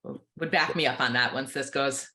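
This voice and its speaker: Bright-voiced American optometrist in conversation. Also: medium pitch, steady tone, normal speed. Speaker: optometrist and practice owner, podcast guest. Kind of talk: conversational interview answer.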